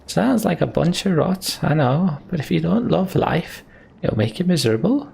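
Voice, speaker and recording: A man's voice: on the high side, expressive, moderate pace, vocal fry, dark tone, slightly rough, pitched above the performer's own voice, exaggerated voice. A man voicing a crude, world-weary fantasy warrior in a video game, blunt and bantering. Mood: wry, resigned.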